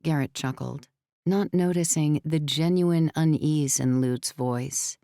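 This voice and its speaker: A woman narrating scripted fiction as an audiobook, in a measured storytelling manner. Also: sits low, mellow, no room sound, studio quality.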